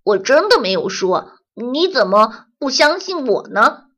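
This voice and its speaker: A young storybook boy, loud and a little raised in pitch, quick to defend himself and sulk when blamed, as a woman puts him on. His voice swings lively, with a slightly husky edge. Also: heavily acted. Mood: defensive. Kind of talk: children's audio story.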